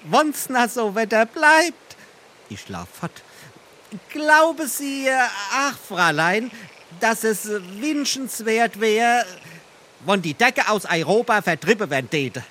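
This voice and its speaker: Awkward hot-headed suitor, Darmstadt Hessian dialect, forceful tense tone, swooping melody, theatrical. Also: male voice, sits high, very animated, slow, a little hiss or hum, exaggerated voice. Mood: bashful. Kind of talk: theatrical dialect comedy acting.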